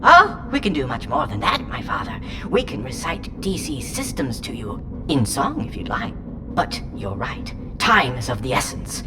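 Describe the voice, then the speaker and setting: A woman's voice: rumbling low, huge pitch swings, moderate pace, over music, exaggerated voice. A woman playing a whimsical, unhinged sentient artificial mind in an audio drama, speaking in a theatrical, eccentric way. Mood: playful, mysterious. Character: whimsical, unhinged sentient shard voice inhabiting a robot.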